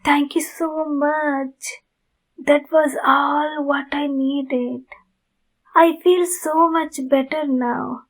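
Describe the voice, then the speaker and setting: A woman voicing a kind, polite vampire neighbor in an ASMR roleplay, gentle and apologetic in manner. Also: high voice, fairly even intonation, drawn-out words. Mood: grateful, kind.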